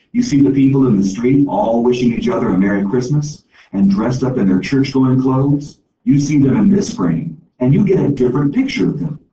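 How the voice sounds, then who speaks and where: A man's voice: mid-range voice, dark tone, recorded from a distance, highs cut off, voice pushed up, close to an everyday voice. Man voicing folksy old woman, echoey room. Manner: folksy, homespun, chatty. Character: plain-spoken, warm, elderly woman welcoming holiday guests.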